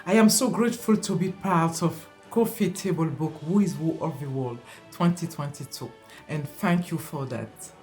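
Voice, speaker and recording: A woman introduces herself as a leadership coach, speaking in a formal, careful way. Her voice sits low for a woman, with faint music underneath. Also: normal speed, a little mellow. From France. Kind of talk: recorded self-introduction.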